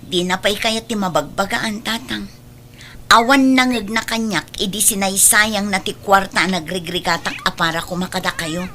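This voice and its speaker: An actress playing a dutiful, exasperated young adult daughter and sister in a radio drama, earnest in manner. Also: female voice, mid-range voice, normal speed, pushed and bright, lightly acted. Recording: faint background noise.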